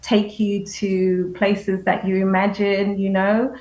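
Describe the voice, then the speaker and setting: A woman from Dominica, a writer and festival organizer, speaking in a measured, encouraging way on a radio talk show. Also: medium pitch, level tone, a little mellow. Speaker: writer, festival committee member. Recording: dull echoey remote line.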